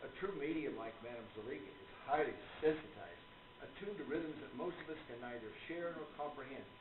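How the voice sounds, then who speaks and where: Through loud noise and a thin, phone-like sound comes a man acting as a courtly gentleman author from a radio play. He speaks formally and earnestly, like a devoted believer in the spirit world expounding his faith. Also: medium pitch, fairly quick, echoey room, natural voice.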